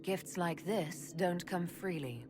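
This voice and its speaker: An actress playing an earnest, level-headed heroine in a fantasy video game, speaking in a measured, direct way. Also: female voice, on the low side, music in the background. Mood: serious, questioning.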